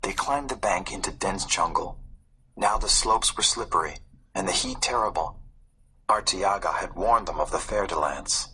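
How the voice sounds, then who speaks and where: A man reading a story aloud as a narrator, in a suspenseful, expressive way. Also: low voice, normal speed, slight background noise, low-quality recording. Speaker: audiobook narrator. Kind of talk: dramatic scripted storybook narration.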